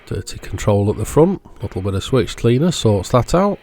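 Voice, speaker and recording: A man's voice: mid-range voice, sing-song, fairly quick, warm tone, soft background music. A man hosting an electronics repair channel, giving casual, matter-of-fact narration of the job as he goes.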